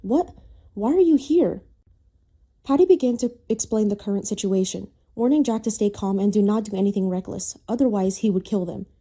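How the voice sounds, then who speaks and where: A woman's voice: medium pitch, quick pace, hardly any pauses, warm tone. A woman reading a scripted, dramatic story as its narrator.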